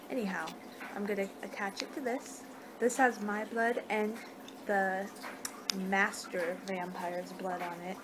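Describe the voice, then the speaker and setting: A young American woman doing a casual ASMR roleplay as a vampire, rambling conversationally on a homemade webcam recording. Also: mid-range voice. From United States. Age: young adult. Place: living room indoors at home. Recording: noisy amateur webcam recording.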